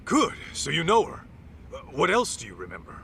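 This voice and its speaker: A man's voice: huge pitch swings, fairly quick, gravelly, fairly bright tone, slight background noise, heavily acted. An actor playing an easygoing, tough male private detective in a game dub, talking in a casual, cocky, protective way. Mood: breezy questioning. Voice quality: low, sturdy voice. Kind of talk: English dub game cutscene acting.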